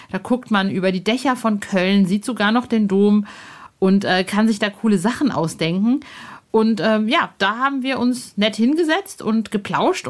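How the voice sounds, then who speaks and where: A woman hosting a podcast in an informal, effusive, slangy chat style. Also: mid-range voice. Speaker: podcast host and interviewer. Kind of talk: chatty podcast moderation and casual interview.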